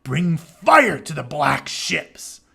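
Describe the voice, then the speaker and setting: Man acting out a frenzied, shouting Trojan war hero. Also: fairly high pitch, big pitch swings, very slow, vocal fry, breathy edge, exaggerated voice. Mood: frenzied, commanding. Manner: commanding battle shout. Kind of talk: dramatic storybook read-aloud.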